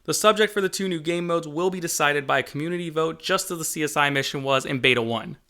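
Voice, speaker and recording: A man's voice: a little high, quick pace, pushed and bright. A man hosting as a game developer, giving upbeat scripted video narration with an enthusiastic, jokey manner. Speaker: game developer and channel host.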